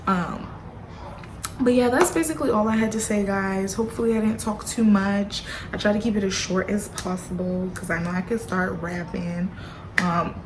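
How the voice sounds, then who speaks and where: A woman vlogger giving a casual, playful update straight to camera, full of asides to her viewers. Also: mid-range voice. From Haitian family background. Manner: chatty, playful, lots of y'all and baby girl asides. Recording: audible background noise.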